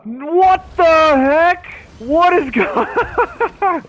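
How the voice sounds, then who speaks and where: A man giving casual, slangy gameplay commentary, excitable and often talking to himself. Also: extremely high pitch, extremely slow, muffled recording, soft background music. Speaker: video game streamer.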